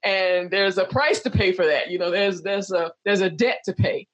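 A woman's voice: medium pitch, normal speed. A woman interviewing a guest in casual talk, frank and blunt, swearing at times while searching for careful wording.

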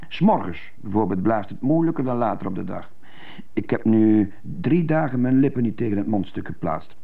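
An actor in a Dutch-language radio play, playing an earnest, brooding prisoner who loves jazz. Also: male voice, on the low side, animated, normal speed. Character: jazz-loving prisoner, earnest, brooding over his strict childhood. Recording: muffled gravelly-sounding phone-like radio tape.

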